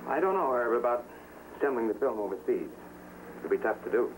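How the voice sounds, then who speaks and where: An actor playing a hesitant, deferring film technician in a 1950s TV drama. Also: male voice, mid-range voice, lively intonation, fairly quick, close to an everyday voice. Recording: very noisy old 1950s TV soundtrack.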